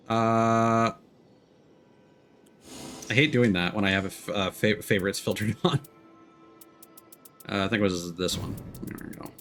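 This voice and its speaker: A man's voice: on the low side, a bit bright. A man giving casual, off-the-cuff commentary as he streams a video game. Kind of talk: casual let's-play commentary.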